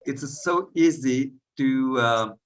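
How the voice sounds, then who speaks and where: Man, from northern Senegal, panel speaker, hesitant, many fillers, video call, middle pitch. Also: takes it slowly. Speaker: panel speaker, eco-village leader. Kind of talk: unscripted speech on a panel.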